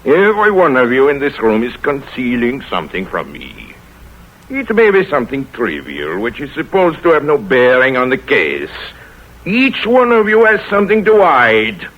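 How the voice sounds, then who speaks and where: An actor playing a proud, aged male detective, slow and grand, in a 1930s radio play. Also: mid-range voice, huge pitch swings. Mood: commanding. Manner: pompous, measured pauses. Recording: lo-fi old radio broadcast.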